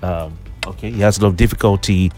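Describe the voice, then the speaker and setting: Chatty radio host, low voice. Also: male voice, fast, dark tone, soft background music. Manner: talkative, teasing, many fillers and restarts. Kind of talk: morning show chat.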